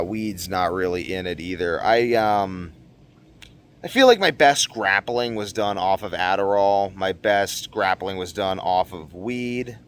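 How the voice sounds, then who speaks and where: A man with a fairly low voice speaks off the cuff to his viewers. He rambles earnestly through his thoughts in a casual vlog style, leaning on repeated phrases to drive points home. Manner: rambling, earnest, emphatic repetition. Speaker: video blogger. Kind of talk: casual unscripted monologue to viewers.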